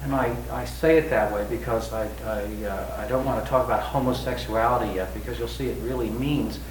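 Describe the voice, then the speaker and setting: A man giving a talk to a congregation, halting with many fillers and restarts. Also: mid-range voice, fairly even intonation. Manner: says he has a big loud voice. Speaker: guest speaker. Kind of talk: lecture-style talk. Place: congregation hall. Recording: echoey room, distant mic, noisy.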